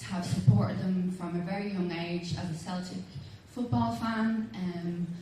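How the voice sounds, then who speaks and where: Echoey, low-pitched Dublin woman giving a speech. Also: mellow. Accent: Dublin Irish. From Dublin, Ireland. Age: 30s. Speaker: independent election candidate. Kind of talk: informal campaign speech. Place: large community hall with audience. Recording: echoey hall, distant mic, faint noise.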